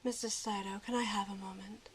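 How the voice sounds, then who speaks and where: A poised, seductive blonde in disguise played by a woman for a fan audio drama. She keeps her mid-pitched voice hushed and quiet while still sounding in command. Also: steady tone, fairly quick, husky, close to an everyday voice.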